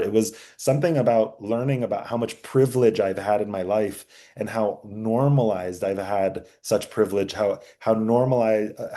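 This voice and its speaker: A young man teaching a class, talking earnestly with many fillers, restarts and pauses. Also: lowish voice, mellow. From United States. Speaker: journalism instructor. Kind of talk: class lecture with slides.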